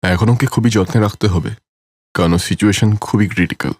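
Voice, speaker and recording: A man's voice: on the low side, fairly quick, no room sound, natural voice. A man playing a friendly, matter-of-fact village doctor in a cartoon story. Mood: grave.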